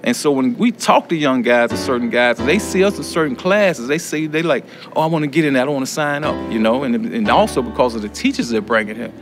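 A middle-aged American man speaks candidly, answering as an interviewee. His voice sits at an ordinary male pitch, with plucked guitar playing clearly underneath. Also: lively intonation, brisk, hardly any pauses. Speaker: prison education student. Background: acoustic guitar music.

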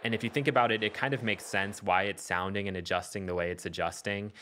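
Guy doing a casual music software tutorial, bright punchy voice kinda low, keeps checking you follow. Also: steady tone, fast talker, slight background noise. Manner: explanatory, checks in with "okay" and "all right".

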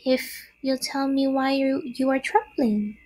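A woman's voice: high voice, fairly even intonation, slow pace, a little mellow, pitched above the performer's own voice, low-quality recording. A woman voicing tiny newborn girl spiders from a storybook, cheerful and friendly, with small, airy voices. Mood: playful. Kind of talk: storybook character voice.

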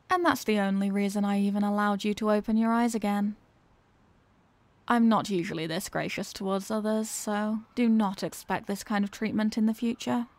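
Woman acting as a dominant female villain, ASMR roleplay, mid-pitched, teasing, condescending, dry close-up sound. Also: darkish tone, no room sound, close to an everyday voice. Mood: mocking, amused. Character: dominant, mocking female villain, secretly idealistic.

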